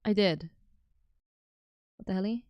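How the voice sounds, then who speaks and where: A British woman livestreaming, chatting casually and reacting loudly to her chat. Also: mid-range voice, unhurried, no room sound, muffled recording. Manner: animated and exclamatory, reacting to chat. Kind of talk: casual live stream chat.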